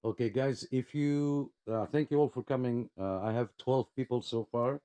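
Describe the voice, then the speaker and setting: A man's voice: mid-range voice, steady tone, normal speed, mellow, clean tone, no echo. A man casually hosting a live radio check-in, friendly and polite, with plenty of hesitations. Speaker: host of a CB radio club live check-in net.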